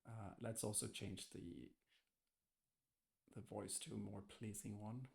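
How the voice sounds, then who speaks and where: A man thinking aloud hesitantly as he gives a casual software testing walkthrough. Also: on the low side, little pitch movement, a little slow, creaky. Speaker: software tester demonstrating. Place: indoors, screen-recorded voice-over.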